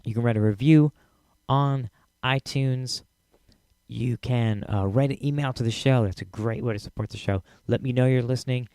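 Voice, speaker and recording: A man's voice: medium pitch, normal speed, a little mellow, dry recording, studio quality. A man hosting a podcast on his own, talking casually with many fillers and repeats, his voice scratchy as he loses it. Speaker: podcast host. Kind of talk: solo podcast talk.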